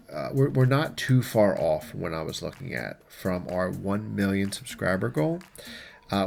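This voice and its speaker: A man with a very low, creaky voice hosts a casual explainer. He talks through the news informally and conversationally, moving between reading aloud and offhand commentary. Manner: informal, conversational, mildly profane. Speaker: host of an explainer show.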